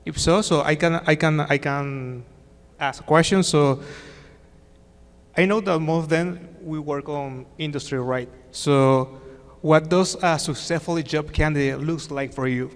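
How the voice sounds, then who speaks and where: A man moderates a conference panel in a fairly high, very clear voice. He prompts the guests hesitantly and repeats words. His voice rings through a large hall. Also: unhurried. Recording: reverberant hall.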